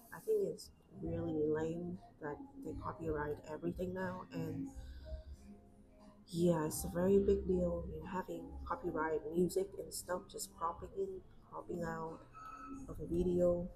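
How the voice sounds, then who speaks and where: A young woman speaks softly in a Vietnamese-accented voice, her pitch sitting a bit low. She chats and thinks aloud as she draws live, filling pauses with small fillers. Street noise from outside comes through clearly behind her. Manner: soft-spoken, thinking aloud with many fillers. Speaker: streamer drawing live. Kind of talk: casual chat while drawing.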